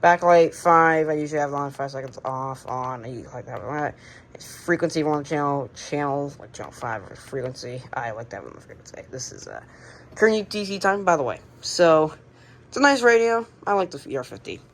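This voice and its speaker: Low american woman doing a casual show and tell, kinda halting, bit of noise on a home recording. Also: animated. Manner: halting, unscripted, plain-spoken. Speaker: radio collector presenting the collection.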